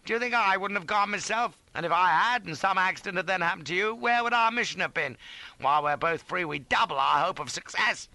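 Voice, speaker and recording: A man voicing a callous, blustering British soldier rogue, shouting and bullying self-righteously in an audiobook adventure. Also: low voice, moderate pace, few pauses, dry recording, voice pushed down, poor audio.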